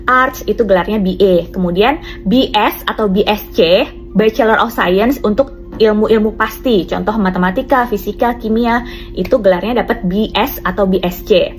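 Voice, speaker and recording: A woman calmly explaining a topic point by point in a casual monologue. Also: medium pitch. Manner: calm, explanatory, counts points off one by one. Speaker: commentator explaining degree systems. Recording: faint music underneath.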